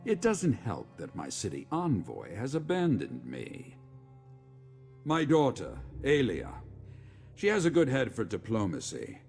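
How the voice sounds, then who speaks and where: An actor playing a weary, dutiful middle-aged legion commander in a video game, speaking in a measured, formal, authoritative way. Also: male voice, lowish voice, vocal fry, a little mellow, breathy edge, full character voice. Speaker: game character, military commander. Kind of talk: scripted video game dialogue.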